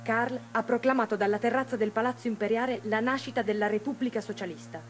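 An actress as a fast, urgent woman comrade. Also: a little high, steady tone, faint music behind, close to an everyday voice. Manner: urgent, reporting briskly. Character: urgent, loyal woman comrade bringing news of revolution. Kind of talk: theatrical radio drama dialogue.

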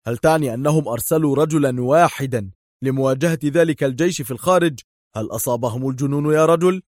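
A man's voice: mid-range voice, dry recording, studio quality, close to an everyday voice. A man playing a hot-tempered, suspicious agent in a spy story, nervous, indignant and argumentative. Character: hot-tempered, suspicious male foreign agent, easily outraged.

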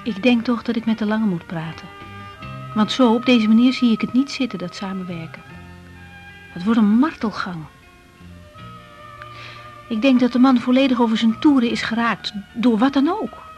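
An actress plays a young woman doctor with a high-pitched voice and a Netherlands Dutch accent. She is insecure and emotional but speaks her mind, in the manner of 1980s radio drama. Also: moderate pace, long pauses, a little mellow, lo-fi sound, faint music behind, lightly acted. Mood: troubled. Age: young adult. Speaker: lead actress.

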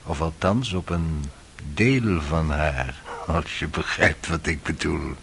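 A man's voice: very deep voice, takes it slowly, creaky, a bit bright, a little hiss or hum, heavily acted. An actor playing a cynical, worldly young man in a radio drama, sly and needling in manner. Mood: mocking, insinuating. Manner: sardonic, insinuating.

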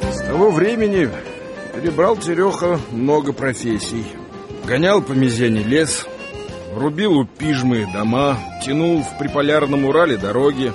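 A man's voice: mid-range voice, lively intonation, normal speed. A man narrating a story for a radio play in a dramatic, wry, colloquial way. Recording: music bed behind the voice.

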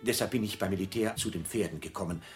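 Music runs behind a man playing an earnest, fussy petitioner in a radio play. His low voice hurries along, officious and long-winded, forever justifying himself. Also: fast, close to an everyday voice. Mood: earnest, pleading. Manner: rambling, officious, self-justifying. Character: earnest, long-winded tradesman job petitioner, fussily proper. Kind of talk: absurdist radio drama acting.

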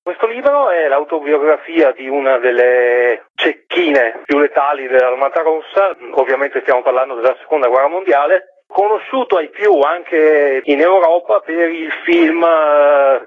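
A man's voice: a little high, measured pace, few pauses, dry recording. A man explaining his work as a guest in a radio interview over the telephone. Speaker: interviewed book translator. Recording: tinny, narrow telephone line.

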